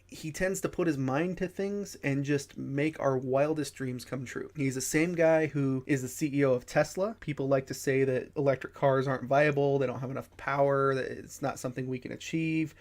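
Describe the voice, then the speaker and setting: A man's voice: mid-range voice, steady tone, normal speed, few pauses, darkish tone. A man with a head cold, hosting a casual commentary show, chatty and a bit profane. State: congested with a cold. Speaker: host.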